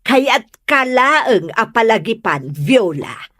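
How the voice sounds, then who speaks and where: A woman acts as a proud, quarrelsome middle-aged mother in a comedy radio drama. She scolds bluntly and emphatically, with very animated pitch in a dry, close recording. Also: slow, dry recording, exaggerated voice. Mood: disapproving. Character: disapproving, proud, quarrelsome mother of a grown son.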